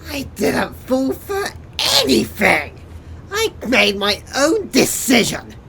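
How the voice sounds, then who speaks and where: A woman's voice: on the high side, wildly swooping pitch, takes it slowly, gravelly, fairly bright tone, breathy edge, exaggerated voice. A woman playing a sulky young turncoat. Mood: defensive. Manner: defensive and resentful. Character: sullen young male traitor. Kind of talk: fan audio drama acting.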